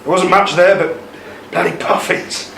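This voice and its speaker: A man's voice: high voice, steady tone, unhurried, creaky, fairly bright tone, exaggerated voice. A man playing an older ex-army husband in a radio play, regretful and haunted by a lost love, speaking with hesitant emotion. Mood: haunted, bitter. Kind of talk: amateur radio play acting. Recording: roomy, distant mic, faint noise.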